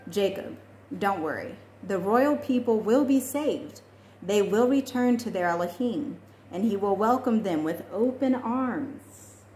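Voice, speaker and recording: A woman's voice: mid-range voice, animated, a little slow, a little mellow, close to an everyday voice. Woman reading as kindly, mysterious storybook mentor. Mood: reassuring. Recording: echoey room with faint noise.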